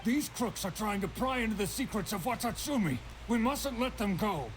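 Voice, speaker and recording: Gruff middle-aged trader, high-pitched, indignant. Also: male voice, lively intonation, normal speed, soft background music. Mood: scornful. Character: stern, indignant older male island trader, grieving father. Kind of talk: video game voice acting.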